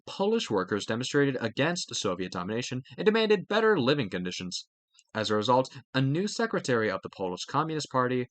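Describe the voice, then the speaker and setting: Man reading aloud with lively, swinging pitch. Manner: halting, stumbles over words. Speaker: reader of a study read-aloud. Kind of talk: reading a textbook aloud with brief asides.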